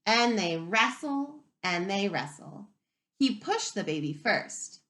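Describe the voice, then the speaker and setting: A woman reading a picture book aloud to young children as a teacher, expressively, pausing to wonder aloud and ask them questions. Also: mid-range voice. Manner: expressive, wondering aloud, inviting listeners to reflect.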